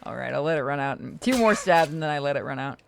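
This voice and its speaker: A woman's voice: low voice, lively intonation, fast, gravelly, background noise. A woman playing a video game and commenting casually with friends, joking sarcastically and swearing a little. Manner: sarcastic, joking, mildly profane.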